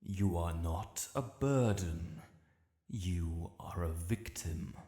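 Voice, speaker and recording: In a long, echoing reverb, an ancient British dragon lord acted by a man addresses the listener. The voice is low and very slow, with formal, stately diction. The character is regal and stern yet protective, as in a fantasy audio drama. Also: dark tone. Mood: gently concerned. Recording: echoey cavernous reverb.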